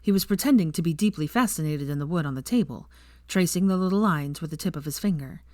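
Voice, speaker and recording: A woman narrates a story in audiobook style. Her voice sits fairly low, and the sound is dry and close, with very little room in it. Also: fast talker, darkish tone, dry recording. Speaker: fan fiction narrator.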